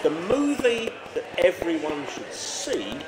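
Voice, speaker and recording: A man's voice: high voice, big pitch swings, slow pace. A man chatting casually and emphatically as a podcast guest, telling stories about films with real enthusiasm. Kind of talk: animated casual chat about favourite films. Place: busy pub, indoors. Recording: echoey room, very loud pub crowd.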